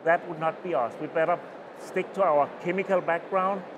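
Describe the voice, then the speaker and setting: A middle-aged Danish man with a Danish accent, giving an oral history interview at a conference and talking in halting phrases with many restarts. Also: a little high, fairly even intonation, background noise. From Denmark. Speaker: interviewee, mycologist. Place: small booth at a conference, indoors. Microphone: lapel mic.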